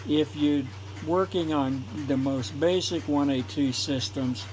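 A man, a former telephone installer, calmly explaining equipment on his workbench in a home workshop. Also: a little high, slow pace, noisy background. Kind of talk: technical explanation voice-over for a hobby video.

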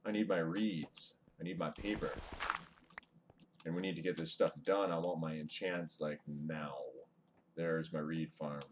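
Male live streamer, deep voice, chatty, greets chat mid-game; webcam mic, faint hiss. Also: fairly even intonation, a little slow. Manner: chatty, greets viewers, mutters over gameplay. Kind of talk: casual live-stream chat while gaming. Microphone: webcam microphone, away from mouth.

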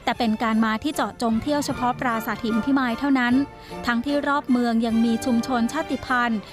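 A woman narrates a documentary in a high, measured voice. Her reading is steady and scripted. A strong music bed runs beneath her. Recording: voice-over with loud music bed.

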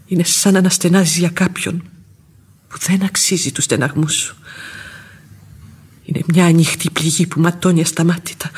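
Very husky, devoted old housekeeper. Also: female voice, lowish voice. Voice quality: very husky, breathy. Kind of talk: classic radio theatre acting.

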